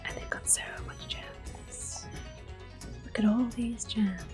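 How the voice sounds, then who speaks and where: A woman giving gentle, unhurried ASMR game commentary in a breathy, whispery voice. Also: fairly high pitch, steady tone. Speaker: gameplay host. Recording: music behind the voice. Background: soft game music.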